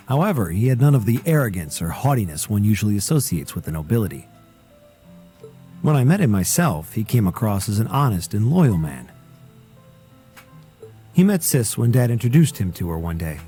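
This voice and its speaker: An actor playing an earnest, guarded young man in a video game, solemnly telling of a painful past in measured, formal words. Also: fairly low pitch, mellow, close to an everyday voice. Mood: bitter, restrained.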